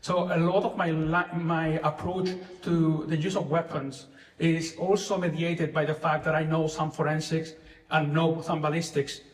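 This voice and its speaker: Male lecturer, Chilean Spanish accent with a Scottish tinge, fairly high voice, echoey hall. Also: little pitch movement, moderate pace. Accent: Chilean Spanish with Scottish traces. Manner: self-deprecating, restarts often. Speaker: guest lecturer. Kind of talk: academic talk.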